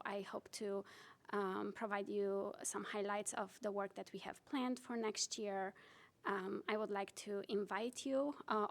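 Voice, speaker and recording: Woman from romania giving a council presentation, flat steady pitch, lots of uhs. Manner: earnest, many "uh" fillers. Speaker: county director presenting to council. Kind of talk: formal presentation to a city council.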